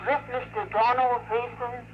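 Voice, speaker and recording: An actor playing a mysterious, ominous anonymous caller through a telephone filter in a 1950s radio drama. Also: male voice, extremely high pitch, level tone, normal speed. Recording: tinny, very noisy phone line.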